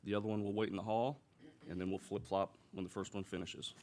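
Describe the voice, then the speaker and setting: Man, lowish voice, chairing a board meeting, plainspoken, faint hiss behind. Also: level tone, fast, a little mellow. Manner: procedural, plainspoken. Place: school board meeting room.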